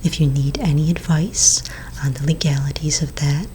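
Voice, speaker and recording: A woman voicing a courteous vampire real estate agent in a soft-spoken, mostly whispered ASMR roleplay, polite, unhurried and attentive. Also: low voice, steady tone, slight background noise, natural voice, rough recording. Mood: soothing, helpful.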